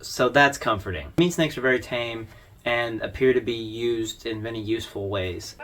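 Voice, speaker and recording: A man's voice: mid-range voice, normal speed, a bit bright. A man hosting an informal explainer video, talking through his commentary in a conversational, wry way. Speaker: video host.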